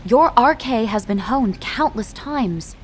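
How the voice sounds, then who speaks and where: A composed female military officer in a science fiction game. Her voice is fairly high and her delivery formal and official, with faint noise underneath. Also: animated, slow pace. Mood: earnest. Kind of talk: formal scripted briefing.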